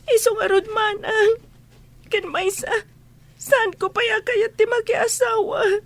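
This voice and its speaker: Woman acting a very high-voiced meek young housemaid. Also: fairly even intonation, takes it slowly, dry recording, heavily acted. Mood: tearful, distressed. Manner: plaintive, submissive. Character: meek, tearful young housemaid who longs to study. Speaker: radio drama actor. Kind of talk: Ilocano radio drama acting.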